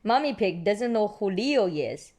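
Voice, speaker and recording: A woman's voice: mid-range voice, expressive, a little slow, darkish tone. A woman reading picture books aloud for young children and explaining things simply. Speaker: storybook reader.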